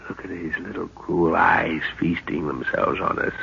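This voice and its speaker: A gravelly, stern expedition father. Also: sits low, a little slow, sharp tone, exaggerated voice. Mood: tense. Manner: gruff, commanding and scornful. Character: stern male father leading a jungle expedition. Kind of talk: 1930s radio drama acting. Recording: dull old radio recording with hiss.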